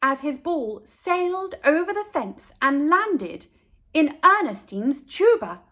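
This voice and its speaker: A woman reading a children's story aloud in an expressive, deliberate way, speaking directly to young listeners. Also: sits high. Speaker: children's book reader.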